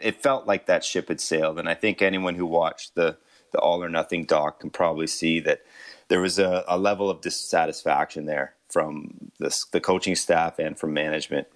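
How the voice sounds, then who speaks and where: A man's voice: rumbling low, steady tone, moderate pace, a bit bright. A man appearing as a guest hockey writer on a sports radio show, thinking through options aloud in an analytical way. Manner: thoughtful, weighs options aloud.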